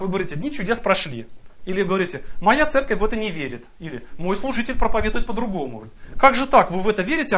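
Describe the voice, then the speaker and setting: A man's voice: sits high, fairly bright tone. A man preaching a sermon, earnest and exhorting, reading scripture aloud and mimicking the excuses of doubters.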